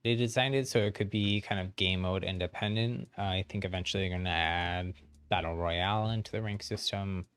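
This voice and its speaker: Deep-voiced man casually explaining a video game. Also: steady tone, fairly quick, fairly bright tone, clean tone. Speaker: game streamer. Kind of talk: casual explanatory gameplay commentary. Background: game music.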